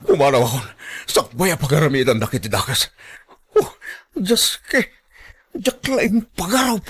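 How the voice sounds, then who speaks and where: A man acting an overwrought, pleading young man in an Ilocano radio drama, with an Ilocano accent and a gravelly, muttering delivery. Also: on the high side, very animated, moderate pace, a bit bright, full character voice. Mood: panicked. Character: cornered cheating young man, later injured, pleading son.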